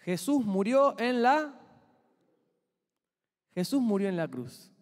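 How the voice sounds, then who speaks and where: Argentine preacher in an echoing hall. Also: male voice, sits high, expressive, slow pace. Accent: Argentine Spanish. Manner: chatty, asks the congregation questions. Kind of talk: conversational sermon. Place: church hall.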